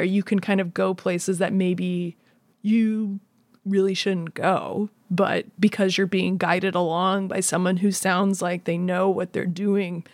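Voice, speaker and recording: A woman's voice: medium pitch, darkish tone, no room sound, clean studio sound. A woman in a casual, conversational discussion, explaining her thoughts.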